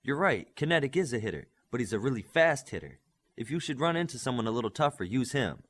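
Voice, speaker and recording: A guy reading as young superhero team leader, earnest and commanding, brisk emphatic briefing, lively up and down pitch. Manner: emphatic, briefing-style delivery. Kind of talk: audiobook character dialogue.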